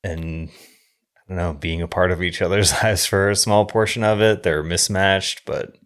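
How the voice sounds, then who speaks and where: Man, low voice, podcast co-host, casual film discussion, thoughtful, halting. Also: steady tone, a bit bright.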